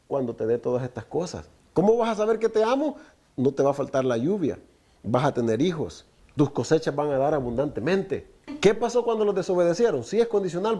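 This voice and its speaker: Mellow-voiced man preaching. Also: a little high. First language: Spanish. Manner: preaching with rhetorical questions, often addresses listeners as brother. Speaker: teacher of a Torah portion. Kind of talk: Spanish-language Torah teaching.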